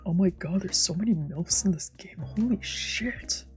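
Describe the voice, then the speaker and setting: A woman's voice: lowish voice, normal speed, faint music behind. A woman doing a playful let's play, reading visual novel text aloud and reacting casually. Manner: playful, giggly. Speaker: streamer.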